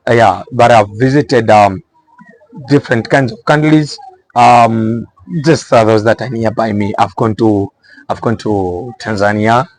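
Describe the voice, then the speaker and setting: A man's voice: lowish voice, a little slow, low-quality recording. A man with a Zambian accent giving small business advice in an informal explainer style, repeating phrases for emphasis.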